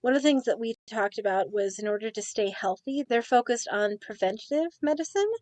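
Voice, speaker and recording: A young woman presenting a school assignment report in a steady, earnest way. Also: fairly high pitch, brisk, no echo. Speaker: student presenter. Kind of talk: reading a class report.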